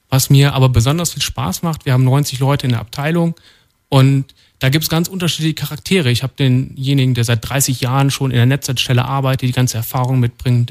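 Male guest from North Rhine-Westphalia, calm, matter-of-fact, mid-pitched. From North Rhine-Westphalia, Germany. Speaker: studio guest, department head. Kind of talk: radio interview answers. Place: radio studio.

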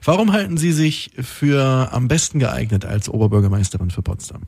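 A male radio interviewer with a soft, mellow tone and a slightly husky grain. He talks conversationally as host, in a close, dry studio sound. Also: mid-range voice, a little fast, dry recording.

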